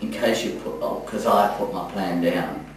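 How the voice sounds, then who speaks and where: Encouraging man teaching in an echoey room. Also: low-pitched. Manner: encouraging, halting. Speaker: yoga teacher trainer. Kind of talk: spoken feedback in a group class. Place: echoey indoor room, from a distance. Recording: echoey room, distant mic, faint noise.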